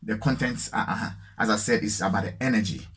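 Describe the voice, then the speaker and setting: A man from Ghana with a Ghanaian accent, talking alone to his viewers in a halting, reflective way, full of fillers and repeated words. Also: fairly low pitch, fairly bright tone, husky. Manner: slow, reflective, repeats phrases for emphasis. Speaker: solo vlogger. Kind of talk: unscripted spiritual musing. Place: alone, lighting fading.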